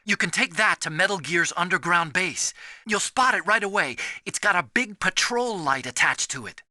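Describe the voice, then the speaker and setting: An earnest, boyish engineer from a video game, high and lively in pitch. He sounds soft-hearted and anxious, with a very sharp, dry sound. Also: moderate pace, no echo, full character voice. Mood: helpful.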